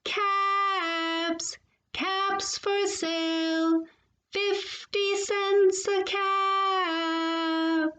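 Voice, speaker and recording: A woman voicing an exasperated male cap peddler from a children's storybook, with emphatic, drawn-out delivery. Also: very high voice, level tone, sharp tone, dull audio, pitched above the performer's own voice, heavily acted. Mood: calling wares. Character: exasperated male cap peddler, scolding then calling his wares. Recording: roomy, echoey sound.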